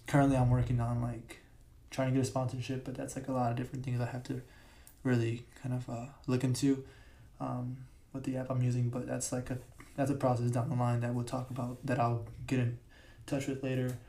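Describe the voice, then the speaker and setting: A young man hosting his own podcast, his voice raspy today, talking casually with many fillers and restarts, recorded in a big room. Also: mid-range voice, monotone, a little fast, hardly any pauses, warm tone. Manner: rambling, filler-heavy, self-correcting. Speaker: podcast host. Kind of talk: casual solo podcast talk. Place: large echoey room. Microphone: podcast mic.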